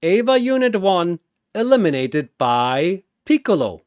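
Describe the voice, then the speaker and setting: Dramatic male narrator, slow and very dynamic. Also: sits high, very animated, darkish tone, dry recording, studio quality. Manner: theatrical, suspenseful. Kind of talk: dramatic scripted battle narration.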